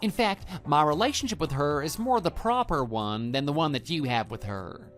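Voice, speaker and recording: A man's voice: fairly high pitch, lively intonation, moderate pace, a bit bright, soft background music. A man playing a smug, brazen lover who talks down to a betrayed husband in an audio drama. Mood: gloating. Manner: smug, condescending, dismissive. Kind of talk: scripted texting-story radio drama.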